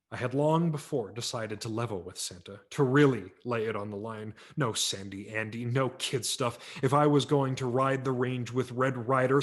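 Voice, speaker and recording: A man narrating a humorous story aloud in a wry, expressive reading style for a virtual event. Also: mid-range voice. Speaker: audiobook narrator. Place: home setup for a virtual event.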